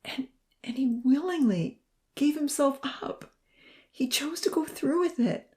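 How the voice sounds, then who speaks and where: Woman playing anguished young man, raised pitch, breathy choked delivery, halting, pleading, guilt-ridden, audiobook drama. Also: darkish tone. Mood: choked, solemn. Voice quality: breathy, choked voice breaking into sobs. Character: anguished young male burn survivor, guilt-ridden and humble.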